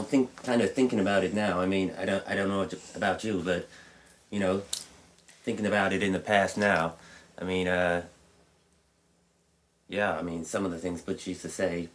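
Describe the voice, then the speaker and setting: Man playing uneasy rambler, low male voice, halting, repetitive, sweary, naturalistic drama dialogue. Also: steady tone, a bit bright, slight background noise. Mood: uneasy, musing. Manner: hesitant, rambling, repetitive, profane. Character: rambling, uneasy man reminiscing about a disturbed acquaintance.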